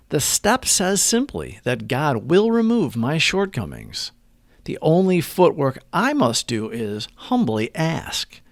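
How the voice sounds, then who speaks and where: A man reading a scripted daily meditation and reflection in an earnest, measured manner. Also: a little high, no echo.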